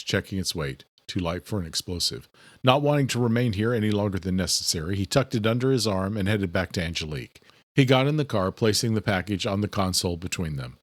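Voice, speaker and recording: A man's voice: lowish voice, no room sound. A man giving dramatic scripted narration as an audiobook narrator.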